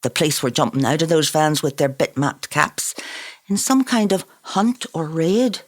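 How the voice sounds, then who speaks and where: A woman author reading her own novel aloud in an expressive, dramatic, incantatory style. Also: sits low, normal speed. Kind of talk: expressive literary narration.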